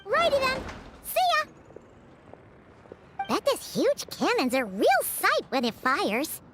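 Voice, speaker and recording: Childlike female game companion, extremely high squeaky voice, excitable, chatty, music in the background. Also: slow pace, heavily acted. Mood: cheerful, chatty. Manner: perky, refers to self in third person. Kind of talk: English video game voice acting. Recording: game music playing behind.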